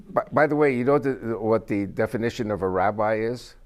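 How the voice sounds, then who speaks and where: A man's voice: fairly low pitch, normal speed. An older man with Spanish as his first language, giving an informal, rambling talk to a small group as guest speaker and joking as he goes. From United States.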